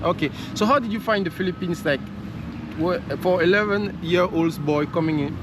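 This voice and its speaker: High-pitched man hosting, noisy outdoor recording. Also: normal speed. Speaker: host and interviewer. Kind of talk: casual vlog interview. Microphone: handheld camera held by him. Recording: loud outdoor traffic noise.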